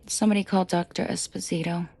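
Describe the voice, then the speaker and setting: A woman playing a protective mafia boss in an intimate audio drama, soft and breathy with frequent whispering, commanding and dryly teasing. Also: fairly low pitch, level tone, fast, no room sound. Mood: cold, commanding. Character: protective female mafia boss, menacing to henchmen, tender to victim.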